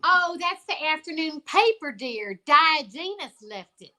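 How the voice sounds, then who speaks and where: Theatrical, arch photographer, female performer, high and bright, unhurried, for old-time radio comedy. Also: very animated, exaggerated voice. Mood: arch, droll.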